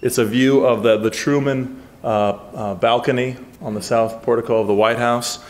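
A man's voice: medium pitch, steady tone, normal speed. A man in his thirties hosting an event at a lectern, chatty and joking, stumbling over names as he welcomes guests. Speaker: event host. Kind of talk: casual opening remarks. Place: conference hall, at a lectern. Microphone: lectern microphone.

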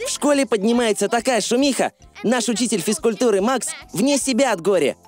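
A woman's voice: fairly high pitch, expressive, brisk, a little mellow, soft background music, lightly acted. A woman voicing a confident, bossy young boy in a cartoon dub, speaking emphatically. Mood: dramatic.

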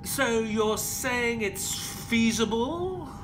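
An actor playing an earnest, puzzled gentleman sidekick in an audio play, with a polite, hesitant manner. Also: very high voice, lively intonation, very slow, background noise, full character voice. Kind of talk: audio play acting and storytelling.

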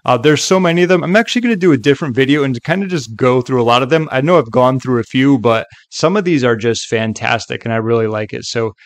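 A man narrating a software tutorial in a casual, conversational way with chatty asides. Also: mid-range voice, expressive, quick pace, hardly any pauses, no echo, studio quality.

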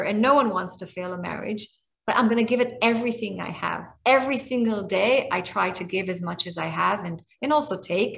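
Israeli woman in her 40s, hebrew native, mid pitch, heartfelt and halting, webcam call from home. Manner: earnest, warm, restarts often, slows on emotional points. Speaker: guest speaker and author. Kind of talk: heartfelt talk on a video call. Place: small room at home. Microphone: webcam on a video call.

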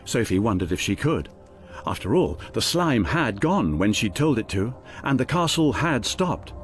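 A man's voice: mid-range voice, sing-song, normal speed, soft background music, lightly acted. A man acting as the storyteller, giving scripted narration in an audio drama. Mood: storytelling. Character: storyteller narrating a fantasy tale.

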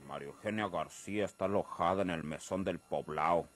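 A man's voice: deep voice, brisk, loud music behind the voice, close to an everyday voice. Man acting a ruthless, commanding rural Mexican boss. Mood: cold, menacing. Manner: commanding, menacing, blunt. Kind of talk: Mexican radio drama acting.

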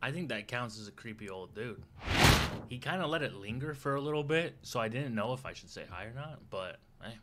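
A young man hosting a video, chatting with strangers online in a loud, teasing, hyped way from a small room with a headset. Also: medium pitch, animated, brisk, noisy background. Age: young adult. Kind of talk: casual online chat.

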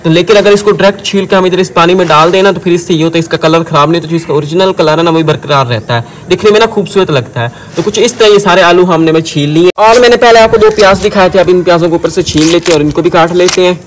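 Fast-talking Pakistani man with a higher-pitched voice. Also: poor audio. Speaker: village cooking vlogger. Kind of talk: casual recipe narration. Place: outdoors in village fields and a brick-walled courtyard. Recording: clear outdoor ambient noise.

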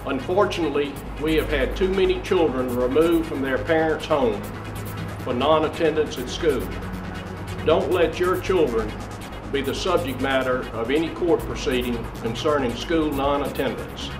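A man's voice: fairly high pitch, normal speed, echoey room, over music, rough recording. A man, a youth court judge, giving a grave, formal public service announcement. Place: standing with officers, in robe.

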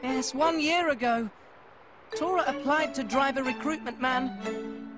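A tiny furry creature inventor with a very high, childlike voice. He speaks slowly in broken, third-person phrases, eager and cheeky, in a video game cutscene with loud music behind him. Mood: sheepish.

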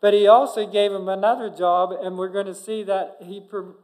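Middle-aged male pastor, high voice, earnest pulpit sermon with sudden loud emphasis. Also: fairly even intonation, normal speed. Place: church sanctuary behind a pulpit. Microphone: pulpit microphone, far camera.

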